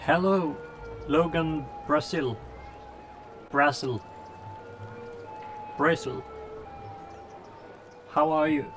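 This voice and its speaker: A man whose first language is Norwegian, chatting casually and haltingly with viewers while streaming a game. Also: on the high side, over music. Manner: halting, many fillers, greets chatters by name. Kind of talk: casual live-stream chat.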